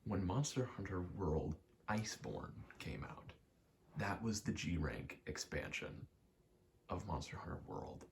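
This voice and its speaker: A soft-spoken man giving a hesitant, self-deprecating ASMR ramble. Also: low voice, lively intonation, a little slow, a little hiss or hum. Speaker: video game ASMR creator.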